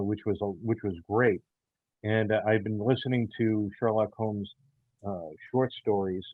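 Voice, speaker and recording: A man speaking haltingly as he explains his writing in a casual interview, pausing often mid-sentence. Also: on the low side, dry recording. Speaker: writer and creator of an audio theater show. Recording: thin, phone-like call audio.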